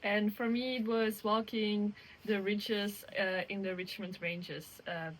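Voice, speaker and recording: Woman, 20s, from Belgium, soft-spoken, measured, mid pitch. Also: level tone, rough recording. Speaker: interviewee hiker.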